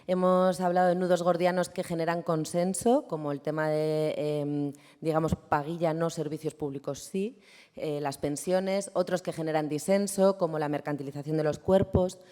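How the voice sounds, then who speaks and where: A woman moderating an assembly, summarizing the debate briskly in a conference hall. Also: low-pitched, fairly even intonation, warm tone. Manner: brisk, organizing. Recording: reverberant hall.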